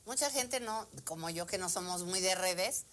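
A woman's voice: lowish voice, moderate pace, a bit bright, slight background noise. A woman with a Mexican Spanish accent hosting a live telethon, chatty with playful interjections. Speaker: host.

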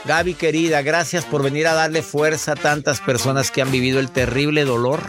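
Playful radio host over music. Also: male voice, on the high side, flat delivery, a little fast. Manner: playful, jokes and sings out names. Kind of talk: casual radio talk with ad reads. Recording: music bed behind.

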